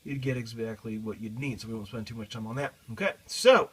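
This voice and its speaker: A man's voice: mid-range voice, brisk, a bit bright, breathy edge. A man talking informally through a guitar effects pedal demo, explaining casually and hands-on as he goes. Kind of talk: informal gear demo talk.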